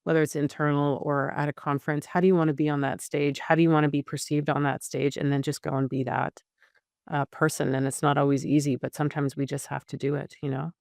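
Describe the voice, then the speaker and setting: Gravelly middle-aged woman podcast host. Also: deep voice, flat delivery, fast, a little mellow, no room sound, clean studio sound. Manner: conversational, encouraging, with frequent fillers and restarts. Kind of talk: casual interview chat.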